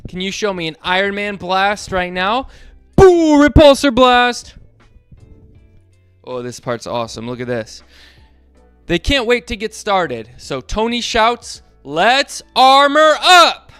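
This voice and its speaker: A man reading a storybook aloud to children in a playful, animated way, asking them to join in. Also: high-pitched, unhurried, long pauses, clear, resonant tone, no echo, soft background music.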